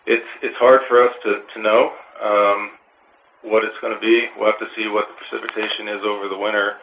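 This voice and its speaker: A man giving measured, formal explanations in an interview as a park resource official, with hesitant phrasing, on a narrow phone-like line. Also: on the low side, little pitch movement, a little hiss or hum.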